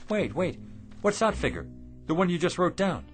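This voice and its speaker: An actor playing a volatile, struggling shopkeeper husband in a radio drama, with an emphatic way of talking. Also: male voice, high voice, very animated, moderate pace, over music. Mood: eager. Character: excitable, hot-tempered husband, struggling shopkeeper.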